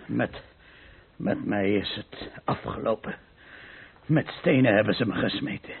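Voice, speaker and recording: An actor playing a desperate, battered, ruined speculator in a radio play, plaintive and despairing. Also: male voice, on the low side, measured pace, vocal fry. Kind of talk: Dutch radio play acting. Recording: muffled, noisy old radio play recording.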